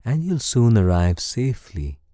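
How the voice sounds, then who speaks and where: A man leading a guided meditation in a calm, soothing, measured way. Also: mid-range voice, no echo, clean studio sound. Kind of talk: slow guided meditation narration.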